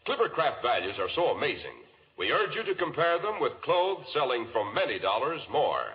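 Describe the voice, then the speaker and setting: A man's voice: mid-range voice, very animated, normal speed, soft background music. A man with an American accent announcing and hosting in scripted 1940s radio style. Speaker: announcer and host. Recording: muffled, hissy 1940s radio recording.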